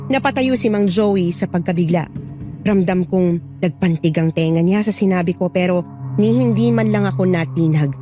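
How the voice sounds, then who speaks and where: A woman's voice: lowish voice, normal speed, warm tone, soft background music. A woman narrator reading a scripted first-person horror story.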